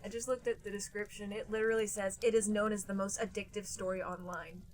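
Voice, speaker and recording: A woman's voice: medium pitch, little pitch movement, quick pace. A woman co-hosting a comedy podcast, chatting in an easygoing, understated way. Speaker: podcast co-host. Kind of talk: casual comedic chat.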